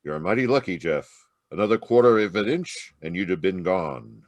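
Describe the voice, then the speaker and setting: Male actor as brave radio Mountie sergeant. Low, very animated, authoritative yet reassuring. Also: normal speed, dry recording. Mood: commanding, reassuring. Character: steady, brave Mountie sergeant hero, kindly commanding. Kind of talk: old-time radio drama acting. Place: remote online performance from a close mic.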